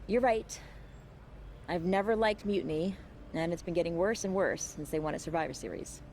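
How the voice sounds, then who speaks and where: A woman's voice: fairly low pitch, moderate pace, darkish tone, a little hiss or hum, lightly acted. A woman playing a wry, tough wrestling veteran in a video game story scene. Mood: firm. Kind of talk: dramatic game dialogue.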